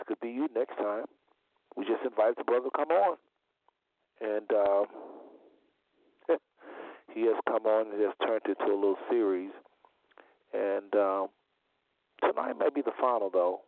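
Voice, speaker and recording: A man hosting a religious call-in show in a deliberate, repetitive way, his voice slightly under the weather. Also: lowish voice, lively intonation, gravelly, no room sound. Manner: deliberate, repeats phrases for emphasis. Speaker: talk show host. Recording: phone-like internet radio audio.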